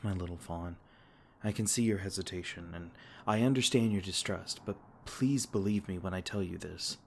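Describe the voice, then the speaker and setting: A man voicing a gentle, protective male fae king in an ASMR comfort roleplay, soft-spoken and tender, stumbling over his words now and then. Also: sits low, moderate pace, gravelly, slight background noise. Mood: tender, soothing.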